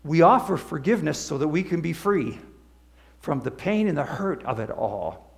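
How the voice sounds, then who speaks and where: A man's voice: fairly high pitch, lively intonation, normal speed, gravelly. A man speaking as a pastor in a conversational sermon, checking in with his listeners. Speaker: pastor leading a church service.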